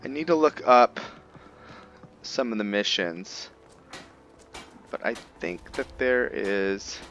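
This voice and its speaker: A man's voice: lowish voice, animated, slow pace, fairly bright tone. A man giving casual, laid-back roleplay commentary on a video game, talking conversationally as he thinks aloud. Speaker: gaming video host. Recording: game music behind. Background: faint game music.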